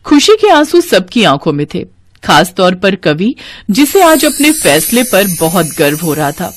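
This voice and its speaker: A woman narrating a scripted story as an expressive storyteller. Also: medium pitch, unhurried, a little hiss or hum.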